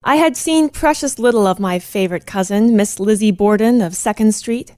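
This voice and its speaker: A woman playing a genteel young lady who narrates a period radio play in a measured, formal literary manner. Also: mid-range voice, mellow, dry recording, studio quality, natural voice. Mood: measured, reflective. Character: genteel young female cousin recounting detective tales. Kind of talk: scripted first-person radio play narration.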